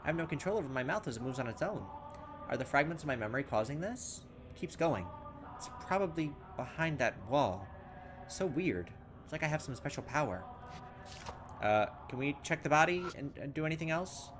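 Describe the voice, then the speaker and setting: A man's voice: on the high side, animated, fairly quick, noisy background. A man playing a horror game and reading its text aloud, thinking aloud and making fun of his own mistakes. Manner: self-mocking, thinks aloud, loses his way. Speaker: let's play gamer.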